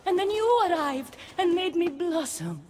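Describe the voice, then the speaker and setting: A woman's voice: very high voice, very animated, normal speed. An actress playing an imperious, wounded goddess queen in a video game cutscene, with a commanding, theatrical delivery. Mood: haughty, wistful.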